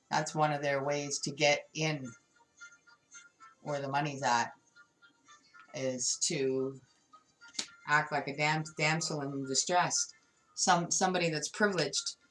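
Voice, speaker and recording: A woman with a deep, low voice works through a tarot spread, speaking directly to her viewer. She talks emphatically and repeats herself as she goes. Faint music plays in the background. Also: rough recording. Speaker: tarot reader. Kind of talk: tarot card reading monologue.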